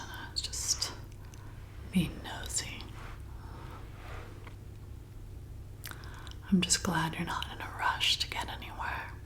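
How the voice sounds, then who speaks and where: A woman playing a flirty, slyly predatory vampire bartender in an intimate, coaxing, breathy whispered ASMR roleplay. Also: lowish voice. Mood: flirty, probing. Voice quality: breathy whisper with creaky edges. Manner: slow, soothing, intimate, coaxing.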